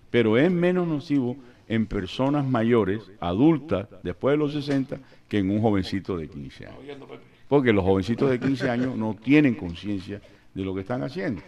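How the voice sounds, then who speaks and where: An older man, chatting and joking as a radio host in a studio, speaking into a studio mic. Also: mid-range voice. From Colombia. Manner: animated, joking, rambling. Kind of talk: casual radio chat, storytelling. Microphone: studio mic with headphones.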